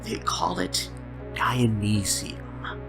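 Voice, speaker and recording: A man voicing a sinister, taunting, lonely madman in an audio drama, with a breathy near-whisper and slow, teasing, theatrical delivery. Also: on the low side, big pitch swings, full character voice. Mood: taunting glee. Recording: background music under the voice.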